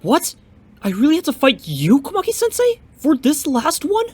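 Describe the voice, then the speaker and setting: A man's voice: very high-pitched, huge pitch swings, normal speed, heavily acted. A man playing an eager, respectful young martial arts pupil, earnest and polite, in an audio drama. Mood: shocked. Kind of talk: fan audio drama acting.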